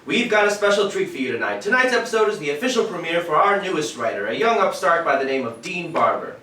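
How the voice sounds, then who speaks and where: A man's voice: high voice, very animated, fast talker, bright, forceful tone, heavily acted. A man acting a polished old-time radio announcer on stage, with a projected, showy delivery. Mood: showy, upbeat. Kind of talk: 1940s radio show announcing. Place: live stage in a theatre. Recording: echoey theatre from far off, faint noise.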